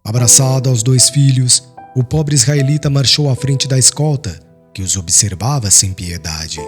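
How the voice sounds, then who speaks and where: Solemn Brazilian male audiobook narrator. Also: mid-range voice. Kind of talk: solemn scripted literary narration. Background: soft music.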